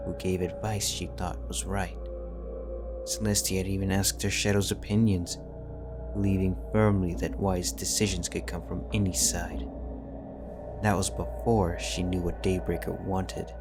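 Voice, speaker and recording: A man narrating a fantasy story audiobook in a slow, measured, somber way. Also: low voice, steady tone. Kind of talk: scripted fanfiction narration. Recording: music bed under the reading.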